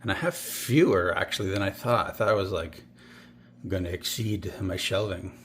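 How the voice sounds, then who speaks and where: A man's voice: sits low, moderate pace, fairly bright tone, a little hiss or hum. A man with a northern US accent, talking through his toy car collection in a rambling, offhand way, often correcting himself mid-sentence. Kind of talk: casual show-and-tell.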